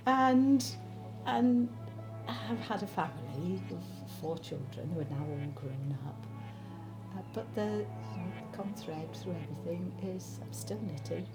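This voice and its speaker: Reflective woman, low voice. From Wales. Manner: thoughtful, unhurried, warmly reminiscing. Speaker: interviewee, spinner and knitter. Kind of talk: reflective documentary interview. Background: background music.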